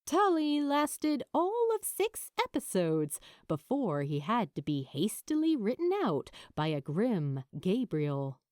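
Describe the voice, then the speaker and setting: A woman narrating fiction from a script in a measured, expressive storytelling manner. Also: medium pitch, no room sound, clean studio sound. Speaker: amateur audiobook narrator.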